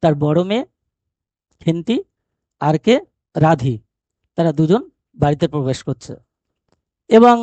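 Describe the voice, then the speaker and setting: High-pitched man teaching, dry sound. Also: darkish tone, no room sound. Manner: didactic, asks and answers own rhetorical questions. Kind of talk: explanatory lesson retelling a short story.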